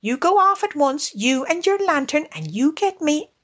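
A woman voicing a hearty, masterful male water rat from a storybook, brisk and commanding. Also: sits high, sing-song, a bit bright, voice pushed up. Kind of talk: storybook character voice.

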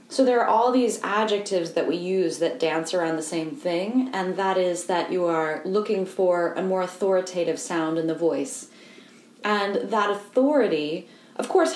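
Calm, lower-voiced woman voice coach. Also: echoey room, a little hiss or hum. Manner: calm, explanatory, guides listeners through exercises. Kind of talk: instructional voice lesson.

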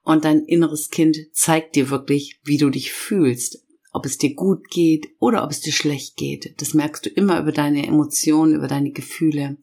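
A middle-aged woman hosts her own self-help podcast, and a cold has left her voice hoarse. She talks straight to the listener, slowing down to lean on each feeling she names. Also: deep voice, fairly even intonation, dark tone. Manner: slow, emphatic listing of feelings. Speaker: podcast host and inner-child coach. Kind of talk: warm guided podcast talk.

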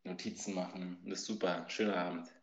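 A man's voice: low voice, little pitch movement, brisk. A man in his forties from Berlin, a youth basketball coach telling stories as a podcast guest, a bit tired and hesitant. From Berlin, Germany. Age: 40s. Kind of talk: casual storytelling.

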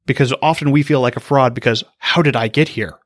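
A man hosting a podcast, giving calm, explanatory answers from a script. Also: mid-range voice, brisk, no echo, studio quality. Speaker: podcast host.

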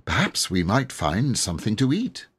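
A man voicing a calm, practical older sister in a storybook reading. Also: fairly low pitch, moderate pace, husky, heavily acted.

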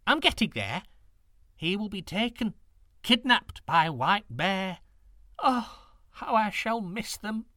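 A man's voice: high-pitched, very animated, slow pace, bright, forceful tone, no room sound, clean studio sound, voice pushed up, heavily acted. A man voicing a very old, wise woman who speaks in a prim, scolding, solemn way. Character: hundred-year-old wise woman, stern and sorrowful.

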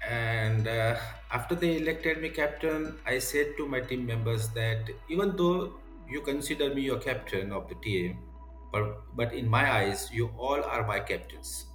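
A man's voice: medium pitch, measured pace, fairly bright tone, echoey room, soft background music, low-quality recording. A man from India with an Indian accent, talking casually as an interview guest. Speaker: podcast guest, software tester.

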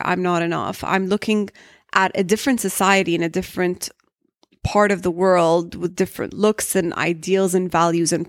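Young woman w/ american accent, lowish voice, talking straight to the mic on her own podcast, dry close sound. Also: creaky, no echo. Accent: American English. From Saudi Arabia. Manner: candid, mixes Arabic and English mid-sentence. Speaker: podcast host. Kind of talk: solo podcast talk.

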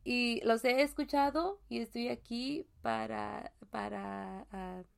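A woman from Mexico hosting a casual solo faith podcast, talking in a halting way with many fillers and restarts. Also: fairly high pitch, creaky. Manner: chatty, laughs at own jokes. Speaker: podcast host.

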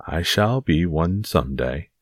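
A man acts out a mild, witty musketeer from an adventure audiobook. His voice is very low, patient and measured, with understated delivery. It is recorded dry and close. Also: animated, no room sound, heavily acted. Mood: composed, dry. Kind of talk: dramatised audiobook reading of a classic adventure novel.

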